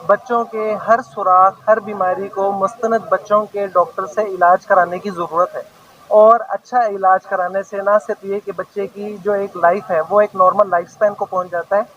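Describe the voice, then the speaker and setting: A man talks in a high, very level voice. He builds long, earnest sentences of advice, and the audio is dull with faint outdoor noise. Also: fairly bright tone. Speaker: pediatric heart specialist. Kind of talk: public health message to a reporter. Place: outdoor awareness walk. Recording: dull lo-fi news field audio.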